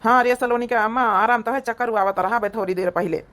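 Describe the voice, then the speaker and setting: A woman's voice: fairly high pitch, fairly quick, a bit bright, close to an everyday voice. Woman voicing a consoling Awadhi village husband. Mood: concerned. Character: ailing, consoling husband and father of a village family. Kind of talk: cartoon comedy voice acting.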